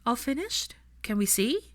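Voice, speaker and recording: A woman's voice: on the high side, very animated, unhurried, voice pushed up. A woman voicing an earnest, busy-minded young woman in an audiobook, eagerly explaining herself. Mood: gently encouraging.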